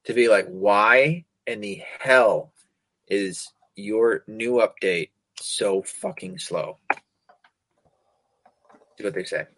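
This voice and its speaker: A man's voice: fairly low pitch, slow, long pauses, fairly bright tone, dry recording, rough recording. A man from the United States hosting a casual talk show, ranting sarcastically, swearing constantly and stumbling over hard words. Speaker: podcast host. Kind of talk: casual profane rant.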